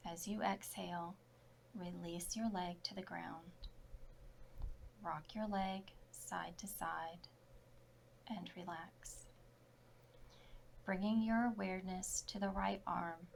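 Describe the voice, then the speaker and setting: A woman yoga instructor leading a guided relaxation meditation in short, soft, measured phrases. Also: lowish voice, vocal fry, slight background noise.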